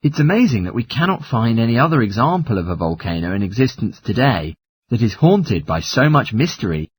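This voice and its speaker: A man's voice: medium pitch, big pitch swings, a little fast, slight background noise, close to an everyday voice. A man playing an agreeable geography student in a scripted listening-test study dialogue. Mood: agreeable, reasoning. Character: male geography student, agreeable, a little nervous.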